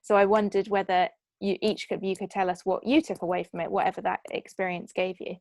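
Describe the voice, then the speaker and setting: A woman speaks as the host of a casual panel discussion. Her voice sits at an average pitch, and the sound is dry with very little room in it. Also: flat delivery, a little fast, a little mellow, no room sound. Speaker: host, film producer moderating a Q&A. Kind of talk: casual online panel hosting.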